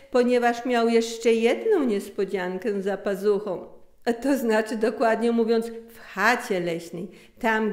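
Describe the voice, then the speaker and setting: Slow, echoey woman storyteller. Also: on the high side. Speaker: author reading own story. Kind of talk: expressive scripted story narration. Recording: echoey room.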